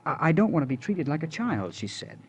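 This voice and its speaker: An actor playing a gentle, devoted young drifter in old radio drama, talking simply, earnestly and hesitantly. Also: male voice, a little high, very animated, a little fast. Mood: earnest, halting. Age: young adult. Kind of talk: 1940s-50s radio drama acting. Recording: noisy vintage radio recording.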